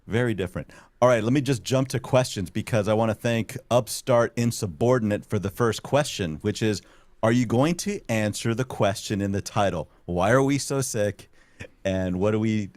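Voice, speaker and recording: A man from South Florida hosting a live talk show, sharing a quick personal story and reading audience questions. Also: fairly low pitch, a little mellow, no echo.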